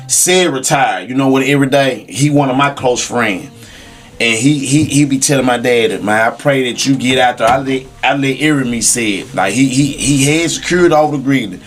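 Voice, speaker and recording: A man chatting casually on a podcast, informal and emphatic with profane street slang. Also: mid-range voice. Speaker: podcast speaker.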